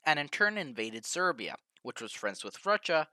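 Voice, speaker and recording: A man's voice: on the high side, big pitch swings, moderate pace, pushed and bright, no echo, clean studio sound. A man hosting an informal comedy history video, narrating in a jokey, slangy, irreverent way. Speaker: host of a history video series.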